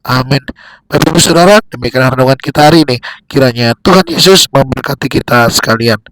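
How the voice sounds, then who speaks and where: A man giving a devotional sermon, exhorting in short, repeated phrases with pauses between them. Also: mid-range voice, fairly bright tone, dry recording, rough recording. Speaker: preacher giving a daily devotional.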